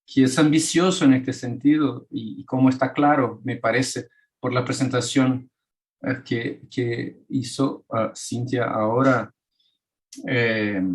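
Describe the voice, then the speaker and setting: Casual: brazilian-accented guy, mid-pitched, stumbles and restarts a lot, talking slowly. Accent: Brazilian Portuguese. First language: Portuguese. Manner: hesitant, many restarts and fillers. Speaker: architect and author presenting his book. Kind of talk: academic talk in a second language.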